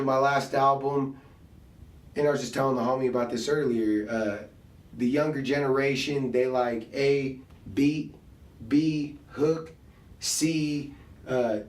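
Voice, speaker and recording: Slangy host in a roomy space. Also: male voice, mid-range voice. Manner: relaxed, slangy, talks through ideas out loud. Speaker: podcast host and rapper. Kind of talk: casual conversation. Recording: roomy sound, mic set at a distance.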